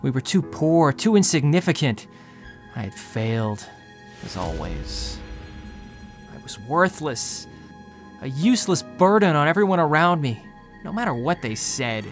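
A man's voice: a little high, very animated. Earnest, self-doubting young man over music. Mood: crushed, self-loathing. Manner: confessional, halting, emotional. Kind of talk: audio drama first-person narration. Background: music bed.

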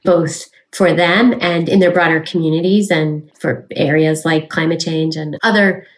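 Female corporate advisor for a panel discussion, voice a little on the low side. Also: steady tone, measured pace, rough recording. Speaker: lawyer advising companies, panelist.

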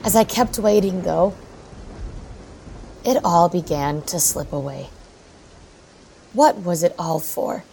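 A middle-aged mother in an audio drama, worn out and full of grief. Her voice sits a bit low and moves slowly, halting and repeating itself with feeling. There is faint noise behind her. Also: creaky, dark tone. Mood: grieving, regretful. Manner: halting, emotional, repetitive. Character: grieving, worn-out mother haunted by her runaway daughter. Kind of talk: audio drama acting, monologue.

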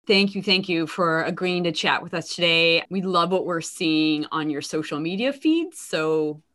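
A woman's voice: fairly low pitch, animated, moderate pace, fairly bright tone. A woman co-hosting an interview, introducing guests and asking follow-up questions politely. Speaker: podcast co-host.

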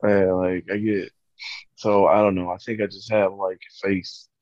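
A man in casual chat, halting and full of fillers. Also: low-pitched, flat delivery, darkish tone, no room sound. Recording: dull, muffled lo-fi audio.

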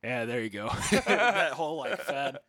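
Soft-voiced rambling man, dry mic. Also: sing-song, measured pace, no echo. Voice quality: fairly high, soft voice. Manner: soft-spoken, rambling with many fillers and restarts. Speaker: musician and podcast co-host. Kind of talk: casual podcast chat.

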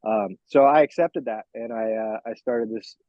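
A man's voice: medium pitch, no room sound. Dull-sounding American man, forties, talking candidly. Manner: reflective, candid, halting as he picks words. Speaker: fitness coach, podcast guest. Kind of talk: informal interview, personal storytelling.

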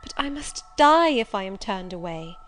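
A woman's voice: medium pitch, big pitch swings, moderate pace, faint music behind, lightly acted. A woman voicing an exhausted young female wanderer in an audiobook, desperate, earnest and imploring. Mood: pleading, desperate. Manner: imploring, formal wording. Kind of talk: audiobook character voice.

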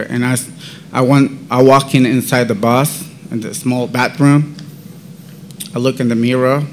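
A man speaking in unhurried, careful phrases, his Central American Spanish accent strong and his English halting. He recounts a personal faith testimony at a mid-range pitch. Faint noise sits under the voice. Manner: halting, non-native English; slow, deliberate. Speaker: podcast guest.

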